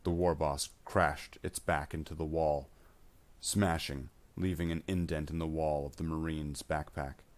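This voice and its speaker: A man's voice: rumbling low, flat delivery, unhurried. A man reading scripted science fiction battle narration.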